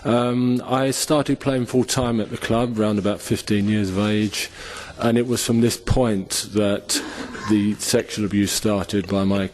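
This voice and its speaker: A man in his sixties, a former footballer, giving personal testimony at a forum. He is soft-spoken and hesitant, with many fillers, and leans in to a microphone. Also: lowish voice, steady tone, measured pace, few pauses. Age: 60s. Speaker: panel speaker, former footballer. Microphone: speaking into a microphone on stage.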